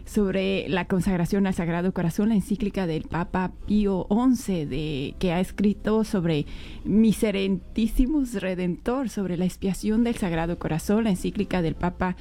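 A woman's voice: mid-range voice, normal speed, warm tone. A woman co-hosting a faith chat, earnestly citing church documents.

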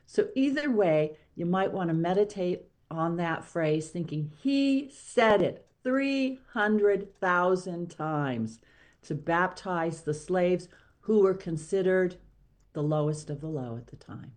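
A woman's voice: fairly low pitch, expressive, slow, darkish tone. A woman guest on a religious talk show, speaking informally and emphatically about faith. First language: not Latin or Spanish; English.

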